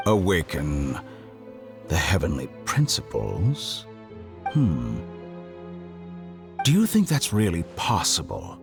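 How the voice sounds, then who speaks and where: A cunning male scholar villain for a game, his voice low and swooping through a very animated range. He speaks slowly and eloquently, with cold, mock-courteous condescension. Music plays behind him. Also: creaky, exaggerated voice. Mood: intrigued, skeptical. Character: cunning, arrogant male scholar villain, coldly theatrical and amused. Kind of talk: theatrical video game voice acting. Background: background game music.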